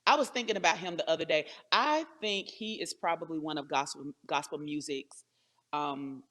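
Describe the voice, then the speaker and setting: Female interview host, lowish voice, conversational and enthusiastic, with emphatic agreement. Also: moderate pace, a bit bright. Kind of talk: casual interview chat. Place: remote virtual interview.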